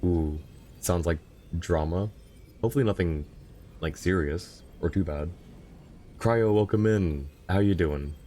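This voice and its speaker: A man's voice: sits low, unhurried, mellow, slight background noise. A man giving casual live-stream commentary as he plays a video game. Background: video game music.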